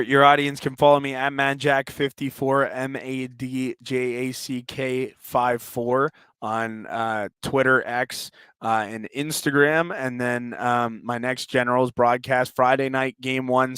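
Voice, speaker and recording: Smooth, even-toned man. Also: medium pitch, measured pace, no echo. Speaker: hockey play-by-play announcer, interview guest. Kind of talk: interview talk.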